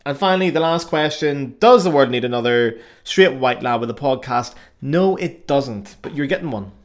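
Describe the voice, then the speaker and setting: Young male podcast host, Northern Irish Belfast accent, mid-range voice, loose and joking delivery. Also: medium pitch. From Northern Ireland. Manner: chatty and self-mocking, with frequent fillers. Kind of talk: casual solo podcast monologue.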